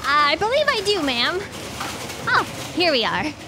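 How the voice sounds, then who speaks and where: Woman as a high, flustered assistant. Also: sing-song, unhurried, low-quality recording. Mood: flustered, apologetic. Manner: meek, fumbling. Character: flustered, apologetic, deferential female assistant. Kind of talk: staged sci-fi comedy audio drama. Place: theatre stage, live performance. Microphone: stand microphone.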